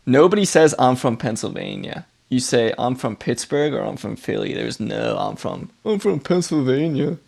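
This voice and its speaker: A man with a gravelly, creaky voice co-hosts a casual podcast. He cracks jokes and needles his friends about their seasons. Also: mid-range voice, expressive, normal speed, hardly any pauses, a little mellow. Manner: wisecracking, teasing. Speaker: podcast co-host.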